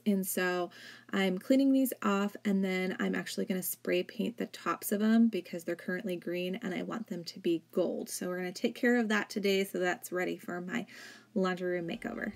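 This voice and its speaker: A woman's voice: mid-range voice, gravelly, a little mellow. A woman in her thirties, a home vlogger, narrating casually over her cleaning footage, chatty and full of "like". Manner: chatty, filler-heavy with frequent "like". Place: home, indoors.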